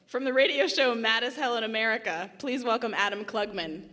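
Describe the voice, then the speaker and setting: A woman's voice: on the high side, fairly quick, background noise. A woman presenting and interviewing as host of a live radio stage show. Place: large hall before a live audience. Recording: reverberant live stage hall.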